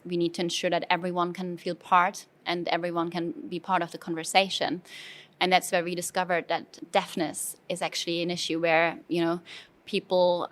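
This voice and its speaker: German-accented woman, low flat voice. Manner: thoughtful, with frequent fillers and restarts. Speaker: brand manager, interview guest. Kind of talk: conversational interview. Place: conference venue.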